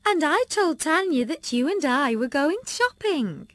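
A woman's voice: sits high, very animated, unhurried, dry recording, pitched above the performer's own voice. A woman voicing a fretful, controlling middle-aged mother with a wheedling manner.

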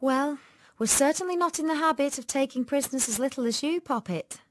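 A woman voicing a kindly adult policewoman in an audiobook story, gentle, coaxing and matter-of-fact. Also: sits high, brisk, slight background noise, voice pushed up, lightly acted. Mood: soothing.

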